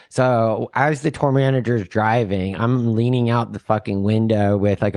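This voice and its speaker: Young adult male musician, low voice, casual rambling storyteller, dry mic. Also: a little mellow, dry recording. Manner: loose, profane, rambling with lots of "like". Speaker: touring musician guest.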